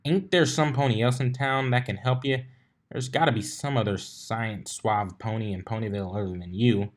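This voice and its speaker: A man's voice: fairly low pitch, fairly even intonation, moderate pace, close to an everyday voice. A man voicing an easygoing, reassuring female farmer pony with folksy, plain-spoken country speech in a story reading. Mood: curious.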